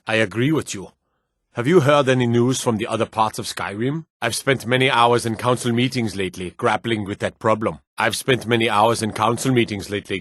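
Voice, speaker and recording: A man playing a dignified, courtly lord with a formal, measured way of talking in a fantasy video game. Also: medium pitch, fairly bright tone, no echo, clean studio sound, lightly acted.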